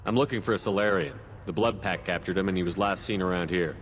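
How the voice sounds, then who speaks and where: An actor playing a composed, businesslike human commander in a video game, direct and questioning. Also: male voice, deep voice, fast talker, natural voice. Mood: direct, inquiring. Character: steady, businesslike human male military commander. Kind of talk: video game character acting. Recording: long reverb, clear background noise.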